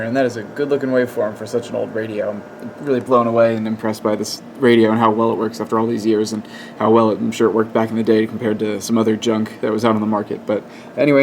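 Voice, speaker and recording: Male, mellow tone, even intonation, casual demo style, halting explanations, faint room noise. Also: medium pitch. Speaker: presenter of a radio equipment test. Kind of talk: casual hands-on demonstration. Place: indoors at a wooden workbench. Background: faint background noise.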